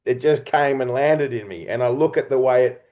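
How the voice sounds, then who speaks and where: A man speaking as an interview guest, a writer and creator talking reflectively and expressively in halting, conversational phrases. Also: mid-range voice, darkish tone.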